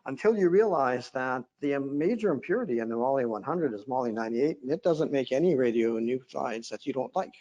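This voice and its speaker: A man's voice: mid-range voice, darkish tone, dull audio. A man giving a technical conference lecture over a video call, with a droning voice, often hesitating with fillers and restarts.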